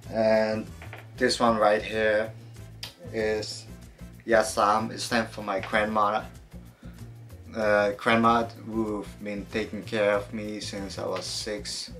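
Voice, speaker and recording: A young man answering viewer questions in a casual fitness vlog, relaxed and hesitant, with many fillers and some casual swearing. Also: fairly low pitch. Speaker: fitness vlogger.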